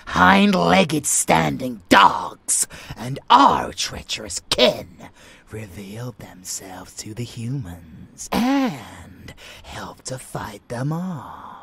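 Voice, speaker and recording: Unhinged vampire boyfriend for asmr roleplay, creepy doting, gravelly, swings up and down a lot, whispery and theatrical. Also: male voice, mid-range voice, dry recording, exaggerated voice. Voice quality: husky, gravelly, often dropping to a whisper. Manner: theatrical, savoring words, slow with dramatic pauses. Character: unhinged male vampire lover, menacing, fanatical, doting. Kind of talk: dark romance ASMR audio roleplay.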